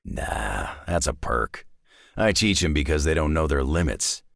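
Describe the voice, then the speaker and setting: Grizzled video game tough guy, extremely low voice, raspy, blunt, dryly wry, swinging inflection. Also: sing-song, unhurried, fairly bright tone, dry recording, exaggerated voice. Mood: dry, gruff. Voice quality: gravelly, raspy growl. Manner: blunt, dry, laconic tough-guy delivery with folksy slang. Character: gruff, grizzled, wry long-lived male mutant brawler. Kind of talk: scripted game character voice lines.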